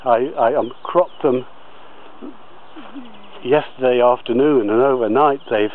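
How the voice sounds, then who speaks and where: Slow, halting man touring his allotment. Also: medium pitch, phone-quality sound. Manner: slow, halting, many fillers and restarts. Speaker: allotment gardener. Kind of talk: walking tour of his garden plot. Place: outdoors on a garden allotment.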